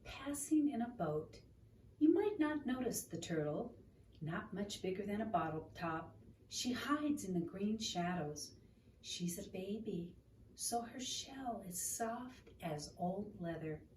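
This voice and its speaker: A woman reading a children's book aloud in an expressive, deliberate storytelling manner, in a roomy, noisy recording made at a distance from the microphone. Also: mid-range voice. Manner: expressive, deliberate, asking the listener questions.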